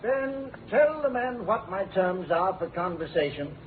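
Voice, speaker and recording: An actor playing a pompous, boozy musician who declaims in florid, grandiloquent phrases in a 1950s radio drama. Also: male voice, sits high, lively intonation, unhurried, noisy background. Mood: haughty. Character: pompous, boozy down-and-out male musician, theatrical and stubborn. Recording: tinny vintage radio, music behind.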